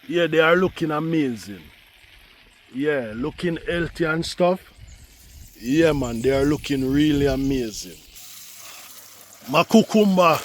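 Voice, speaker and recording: A man with a Jamaican accent chatting casually to viewers as a gardening vlogger, unhurried and repetitive, slightly congested with a cold. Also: mid-range voice, slight background noise. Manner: unhurried, repetitive, with frequent "yeah" and "you know". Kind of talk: casual vlog talk to viewers. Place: outdoors in a garden.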